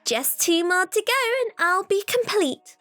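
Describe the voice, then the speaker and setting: Murderous little doll, horror radio play, extremely high girlish voice, swooping singsong, gleeful, faint music. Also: big pitch swings, a little slow, pushed and bright, no room sound, full character voice. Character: gleeful, childlike living doll daughter, killer. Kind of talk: horror audio drama acting.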